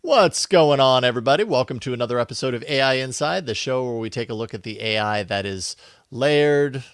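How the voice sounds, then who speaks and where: A man's voice: medium pitch, fairly quick, smooth, dry recording, studio quality. A man hosting a live show in a casual, informal, conversational way. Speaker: podcast host.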